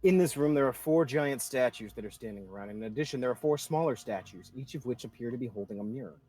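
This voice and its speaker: Man, game master, tabletop roleplay narration, informal, medium pitch. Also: lively intonation, fast, dark tone, breathy edge.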